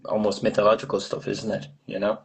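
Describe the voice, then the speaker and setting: A man with a husky voice casually discussing poetry in a tentative, rambling way, often repeating words as he goes. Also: lowish voice, completely flat delivery, fast talker. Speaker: host of a live poetry reading.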